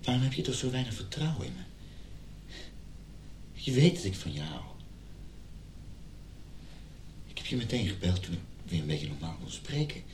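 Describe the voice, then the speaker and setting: An actor playing an injured husband in a radio drama, earnestly and emotionally pleading for reconciliation. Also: male voice, very animated, brisk, a little mellow. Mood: pleading, defensive. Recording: roomy sound with background rumble.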